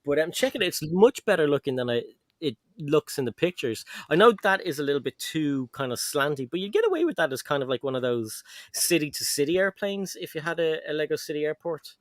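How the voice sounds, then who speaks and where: A man's voice: on the high side, brisk, dry recording. Middle-aged man hosting a Lego stream. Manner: relaxed, joking, talks to his son on camera. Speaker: host of a Lego livestream. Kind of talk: casual livestream chat, reading viewer comments. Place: home room full of Lego shelves.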